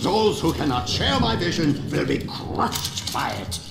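A man's voice: a little high, huge pitch swings, unhurried, gravelly, heavy background noise, heavily acted. A man playing a grandiose megalomaniac scientist villain in a video game, pompous and declamatory. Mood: grandiose menace. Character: middle-aged megalomaniac male mad scientist villain, grandiose. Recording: echoey, under loud music.

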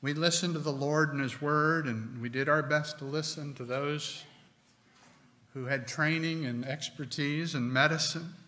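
A middle-aged man preaching a sermon from a pulpit, slow and deliberate with emphatic pauses. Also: a little high, steady tone, bright, forceful tone. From United States. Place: church sanctuary with stone wall, at a pulpit. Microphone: pulpit microphone. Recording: long hall reverb.

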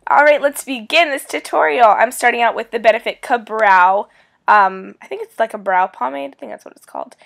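Young woman beauty vlogger, gravelly cold-roughened voice, chatty and casual, over soft background music. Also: on the high side, fairly quick. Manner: chatty and upbeat. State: raspy from a cold. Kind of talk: makeup tutorial voice-over.

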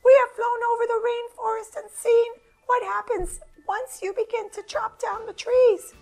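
A woman's voice: very high-pitched, steady tone, moderate pace, gravelly, a little mellow, soft background music, pitched above the performer's own voice, rough recording. A woman voicing an alarmed, squawky toucan in a storybook. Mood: alarmed warning.

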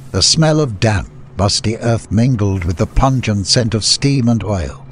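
A man's voice: on the low side, animated, unhurried, a little hiss or hum. A man reading scripted fantasy story narration as an audiobook narrator.

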